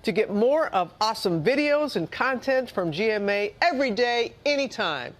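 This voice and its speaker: A woman's voice: a little high, expressive, normal speed. Upbeat woman morning show host. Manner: upbeat, friendly. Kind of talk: channel outro.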